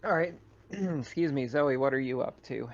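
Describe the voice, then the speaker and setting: A man's voice: medium pitch, moderate pace. Man game-mastering, slightly dull recording. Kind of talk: running a tabletop roleplaying game, conversational.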